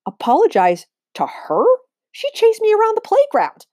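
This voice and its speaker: Woman as a sheepish, defensive schoolboy, pitched up. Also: huge pitch swings, normal speed, dark tone, dry recording, studio quality, exaggerated voice. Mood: protesting. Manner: hesitant, protesting. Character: sheepish, defensive schoolboy telling his side.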